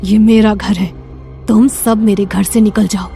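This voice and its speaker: Woman acting a slow, lowered, menacing ghost. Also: steady tone, vocal fry, mellow, faint music behind. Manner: slow, drawn-out threats. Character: menacing female ghost, possessive and threatening. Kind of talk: Hindi horror cartoon dialogue.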